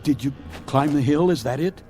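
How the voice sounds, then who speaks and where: An actor playing a stern military captain who questions a subordinate in clipped, hard commands, in a radio drama. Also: male voice, on the high side, moderate pace, natural voice. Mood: urgent. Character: stern military captain searching for lost crewman. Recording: music score behind.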